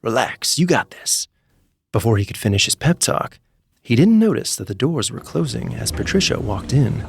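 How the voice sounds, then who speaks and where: A man narrating a scripted comedic story in an expressive, theatrical way, with music playing behind him. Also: mid-range voice, moderate pace, gravelly, background noise. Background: background music.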